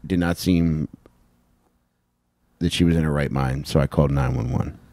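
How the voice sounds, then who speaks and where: A man's voice: a bass voice, moderate pace, dark tone, no room sound. A man in his thirties chatting casually and bluntly as a comedic true-crime podcast co-host, swearing freely, close on a handheld mic. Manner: blunt, profane. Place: small indoor podcast room on couches. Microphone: handheld microphone held close.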